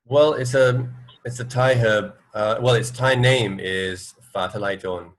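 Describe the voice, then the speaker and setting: A man's voice: medium pitch, fairly even intonation, slow pace. A man co-hosting a radio show in casual chat, tentatively repeating and rephrasing as he goes.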